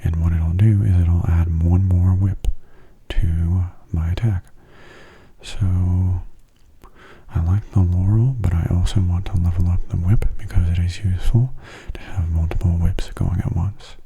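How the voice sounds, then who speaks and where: A man giving soft-spoken ASMR gameplay commentary in a hushed, breathy, unhurried and casual way, close to the microphone. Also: steady tone, lo-fi sound. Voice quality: breathy, husky low voice. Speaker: gaming ASMR video host. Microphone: close mono microphone.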